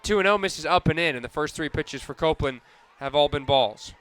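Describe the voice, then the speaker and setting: Male sports play-by-play announcer, radio commentary, forceful tone, smooth and crisp. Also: a little high, a little fast.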